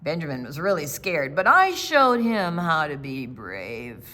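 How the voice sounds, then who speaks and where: An older woman reading a picture book aloud to children as a storytime reader, with dramatic, deliberate phrasing and quieter conversational asides. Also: low voice, sing-song, slight background noise.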